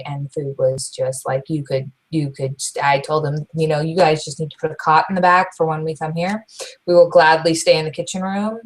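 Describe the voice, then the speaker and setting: Chatty low-voiced woman co-host. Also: a little hiss or hum, low-quality recording. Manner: loud, fast, chatty with frequent restarts. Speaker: podcast co-host. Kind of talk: casual chat.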